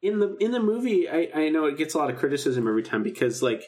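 A man's voice: a little high, very animated, fast talker, a little mellow. Casual, enthusiastic male podcast co-host. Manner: enthusiastic, acts out quoted dialogue. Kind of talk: casual podcast chat, recapping a drama.

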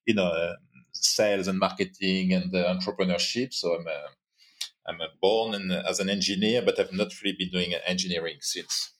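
A man's voice: sits low, steady tone, moderate pace, a bit bright. A man from France with a French accent, a guest in a podcast interview, speaking hesitantly with many fillers. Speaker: guest entrepreneur. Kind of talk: casual interview chat.

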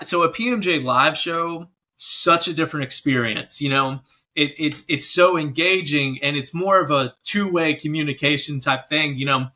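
Casual guy being interviewed, bright voice a bit on the high side, slightly lo-fi audio. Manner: informal, often checking in with "you know". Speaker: interview guest, professional musician. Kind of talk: casual chat.

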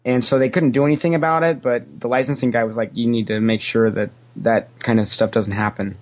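A man's voice: mid-range voice, steady tone, a little fast. A man giving casual, anecdotal answers as a podcast guest, a talkative storyteller who acts out what people said. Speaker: podcast guest, former theme park character actor.

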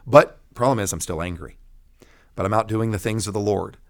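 Middle-aged American male pastor, lowish voice, emphatic preacherly phrasing, telling his own story as an interview guest. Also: brisk. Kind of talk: personal testimony in an interview.

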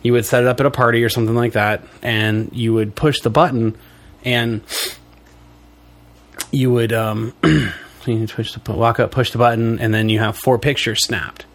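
A man's voice: mid-range voice, flat delivery, normal speed. Wheezy man chatting on a podcast. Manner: joking, chuckles often, rambling explanations. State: wheezy from allergies. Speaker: podcast co-host. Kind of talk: casual podcast chat.

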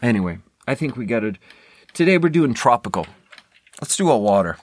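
A man's voice: mid-range voice, sing-song, brisk. An American man hosting a podcast alone, rambling casually and candidly in a conversational way, often interrupting himself. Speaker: podcast host. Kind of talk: casual rambling solo talk.

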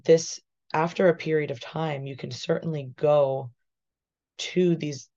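A woman, a physique coach, slightly hoarse, explaining her coaching ideas in a relaxed podcast chat over a video call. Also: low-pitched, a little slow. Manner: thoughtful, explanatory, says "right?" often. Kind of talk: casual podcast discussion. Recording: muffled video call audio.

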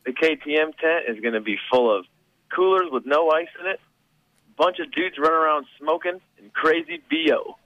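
A man's voice: a little high, moderate pace, no echo, highs cut off. A man co-hosting a radio show, teasing and sarcastic in casual banter.

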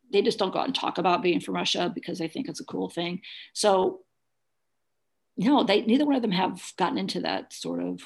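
Middle-aged female interview guest, gravelly lower register, plain-spoken personal recollection. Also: a little mellow. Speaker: interview guest, adoptive single mother. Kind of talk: conversational interview, recounting personal experience.

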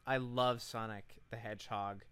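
A man's voice: on the low side, steady tone, normal speed, fairly bright tone, clean tone, no room sound. A man co-hosting a podcast in casual conversation, informal, slangy and opinionated. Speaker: podcast co-host.

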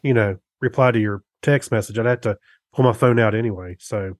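A middle-aged man co-hosting a podcast, chatting casually and haltingly as he thinks aloud. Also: fairly low pitch, dry recording. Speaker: podcast co-host. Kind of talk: casual chat about gadgets.

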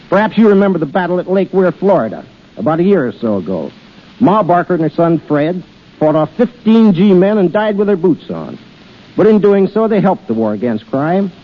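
A man speaking as a guest in a scripted 1930s radio interview. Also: high voice. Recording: dull 1930s radio recording.

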